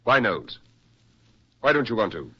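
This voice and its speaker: A man acting a brisk, persuasive military psychiatrist with genteel, insistent delivery in a 1940s radio drama. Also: on the low side, sing-song, breathy edge. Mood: hearty, coaxing. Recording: muffled 1940s radio recording.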